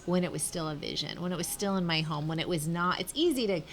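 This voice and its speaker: A woman, founder of a youth culinary program, telling stories in a casual interview and quoting herself in lively asides. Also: fairly low pitch, fast, a little hiss or hum.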